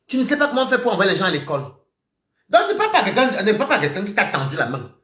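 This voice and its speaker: Heated, animated man in an echoey room. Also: sits high, racing. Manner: accusatory, repetitive, swings between shouting and muttering. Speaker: live-stream host. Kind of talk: heated live-stream rant.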